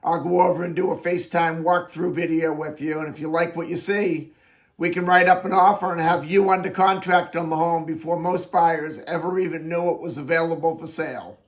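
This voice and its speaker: A man working as a real estate agent, talking through his daily listing video in a plain, conversational way, his voice raspy from allergies. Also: a little high, steady tone, brisk, low-quality recording. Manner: plain-spoken, conversational, explains at length. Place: Florida Keys.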